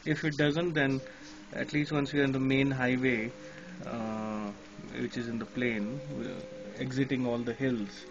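A man's voice: mid-range voice, flat delivery, measured pace, hardly any pauses, lo-fi sound, background noise. A man with an Indian accent, chatting casually as a ham radio operator, laughing often, outdoors at night in the rain.

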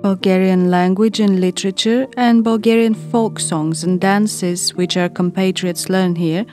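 A woman's voice: medium pitch, steady tone. Woman narrating over music. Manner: measured, formal reading. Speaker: documentary narrator. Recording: music playing under the voice.

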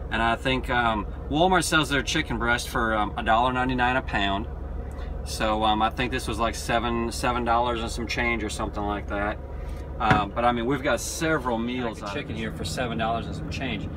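A middle-aged man cooks and talks in an easygoing, rambling way, full of little restarts and fillers. His voice sits in an ordinary middle range. Loud engine and traffic rumble sit under everything he says. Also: moderate pace. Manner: relaxed, rambling with frequent ums and restarts. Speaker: vlogger, truck driver. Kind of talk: casual cooking vlog. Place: outdoors beside a truck at night, then inside the truck cab.

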